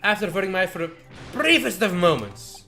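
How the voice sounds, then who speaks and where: Man putting on an eccentric, pompous old dealer, lively pitch. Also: full character voice. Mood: mournful. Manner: archaic, flowery, drawn-out words. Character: eccentric, pompous old male curio dealer obsessed with treasure. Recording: music behind the voice.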